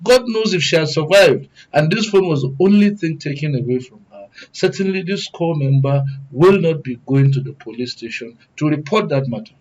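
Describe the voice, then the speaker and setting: A man with a Nigerian accent giving serious panel commentary in a deliberate, emphatic, oratorical way. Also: a little high, lively intonation, muffled recording. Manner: deliberate, emphatic, oratorical, repeats phrases for weight. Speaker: guest commentator, good governance advocate.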